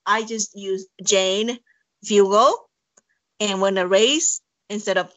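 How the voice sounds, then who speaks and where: A woman's voice: mid-range voice, fairly even intonation, takes it slowly, a bit bright, no room sound, low-quality recording. A woman from Thailand, speaking English with a Thai accent, answering interview questions in a hesitant way with many fillers and restarts. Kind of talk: casual interview conversation.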